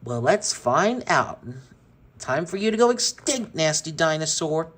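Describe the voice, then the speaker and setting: A man voicing a cruel boy who gloats slowly and sneers at his victims. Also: a little high, big pitch swings, fairly bright tone, pitched above the performer's own voice, full character voice. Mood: gloating. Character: cruel mocking boy who torments toys.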